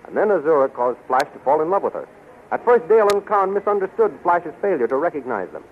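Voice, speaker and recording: A man's voice: on the high side, sing-song, fast talker. An American man announcing and narrating a 1930s radio serial in a formal, declamatory scripted style. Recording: scratchy, narrow-band 1930s radio recording.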